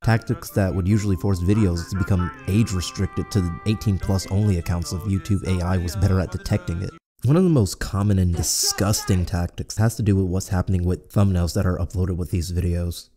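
Male essay narrator, scripted, low-pitched, music in the background. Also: steady tone, brisk.

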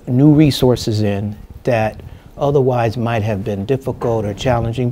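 A middle-aged man from the United States, president of a medical center, answering interview questions in a measured way with many fillers and restarts. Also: lowish voice, dark tone, slight background noise. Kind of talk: seated interview.

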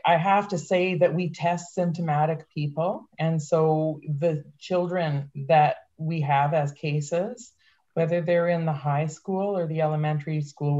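A woman's voice: low-pitched, dark tone. A woman in her fifties, a public health doctor, answering questions candidly on a video call. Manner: candid, plain-spoken. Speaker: public health medical officer. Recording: dull lo-fi call audio.

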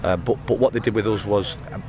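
A man in his thirties, a football manager giving a post-match interview outdoors by the pitch at night, talking in rambling runs full of fillers and restarts. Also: fairly even intonation, quick pace. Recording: outdoor interview with loud background noise.

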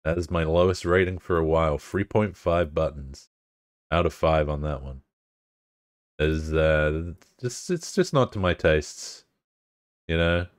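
Deep-voiced, swearing male game streamer. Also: a little slow, dry recording, clean studio sound. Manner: profane, laid-back banter with chat. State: congested, bunged up. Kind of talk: casual live-stream chat.

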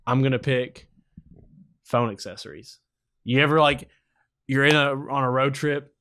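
A man's voice: medium pitch, fairly even intonation, measured pace, fairly bright tone. A man in his twenties talking casually as a podcast co-host, debating in a dry, joking way on a close microphone. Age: 20s. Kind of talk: casual chat, friendly debate. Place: small room indoors. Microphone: podcast microphone on a stand, with headphones.

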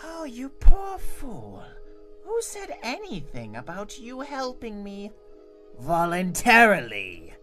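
A woman's voice: mid-range voice, huge pitch swings, slow, loud music behind the voice. A woman voicing a small, scheming bird-like male monster villain in a cartoon comic dub, taunting and theatrical. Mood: gloating menace.

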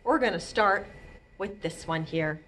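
A middle-aged woman hosting a children's storytime in an animated, expressive way, talking to young children. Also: mid-range voice, unhurried. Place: indoors, small library children's room. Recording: noticeable background room noise.

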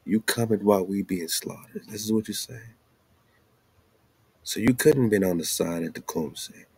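A man's voice: sits low, no echo. A man giving an informal, slangy commentary, asking rhetorical questions and repeating phrases for emphasis. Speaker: host. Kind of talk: informal commentary monologue over on-screen maps and documents.

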